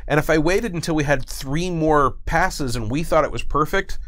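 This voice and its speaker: A man's voice: on the high side, moderate pace, a bit bright. A middle-aged man who works as a game designer, talking in an earnest, emphatic, explanatory way in a casual panel discussion.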